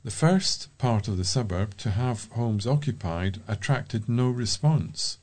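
A man's voice: medium pitch, animated, measured pace, a little mellow. A man reading local news items aloud as a volunteer newsreader.

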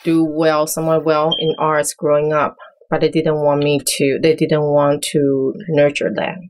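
A middle-aged woman from Taiwan with a notably low voice, speaking as a guest on a podcast. She talks in a measured, halting way and often keeps her answers short. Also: level tone, a little mellow, slight background noise. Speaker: guest, small business owner. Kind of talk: interview conversation.

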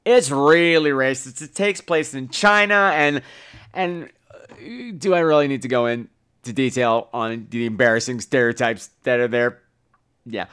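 A man's voice: mid-range voice, normal speed, a bit bright, smooth. A man hosting a podcast in a casual comic monologue, theatrical and profane, often interrupting himself. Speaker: podcast host.

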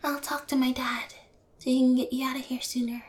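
High-pitched young female voice, woman acting a spoiled yandere prisoner, brazen, teasing, twisted, intimate audio roleplay. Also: level tone, brisk, natural voice. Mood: soft, scheming. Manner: taunting, brazen, teasing, drops to breathy whispers.

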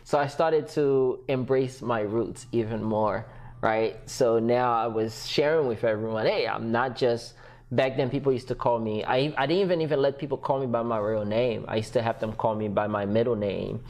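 A man who grew up in Haiti, a podcast guest telling his story in a lively conversational way, often acting out what others said and laughing. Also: mid-range voice, normal speed. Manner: animated, acts out quoted voices, laughs often.

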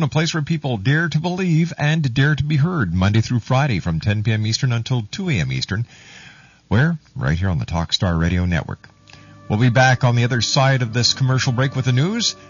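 A man's voice: medium pitch, breathy edge, muffled recording. A man announcing as a radio host, polished and emphatic. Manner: polished, emphatic, slogan-like delivery. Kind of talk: radio show announcing and promo read.

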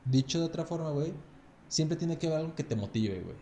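Mexican man in his 30s, podcast guest, dark mellow voice, relaxed and foul-mouthed, echoey room. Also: on the high side, quick pace, slight background noise. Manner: relaxed, crude slang, ends phrases with "güey". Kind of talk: casual storytelling chat.

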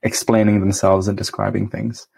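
A young man chats as a guest on a podcast, his voice lowish and mellow with a slightly husky edge. He talks in a measured, reflective way and slips in soft laughs. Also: little pitch movement. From India. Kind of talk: casual chat.

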